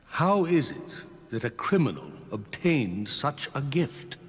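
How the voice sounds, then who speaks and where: A man voicing a troubled, commanding Pharaoh in an animated Bible story, impatient and imperious. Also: mid-range voice, slow, slightly rough, heavily acted. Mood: questioning.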